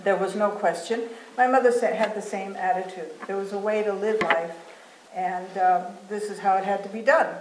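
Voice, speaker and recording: A woman's voice: mid-range voice, fairly even intonation, normal speed. A woman from Venezuela, speaking as a guest and telling her life story in a rambling, anecdotal way. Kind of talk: personal storytelling talk. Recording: echoey hall with faint noise.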